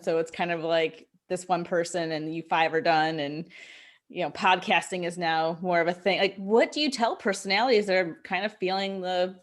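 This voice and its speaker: A woman hosting an interview in a conversational style, responding to her guest and putting questions. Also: on the low side. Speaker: interview host, broadcasters association president.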